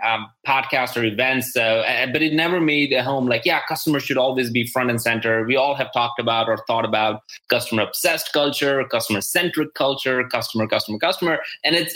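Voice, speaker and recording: Here is a man hosting a business podcast in easy, enthusiastic chat, and he often doubles back on his words. His voice sits at a middle pitch with a bright, forward tone. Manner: enthusiastic, repeats words, casual "dude". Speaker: podcast host.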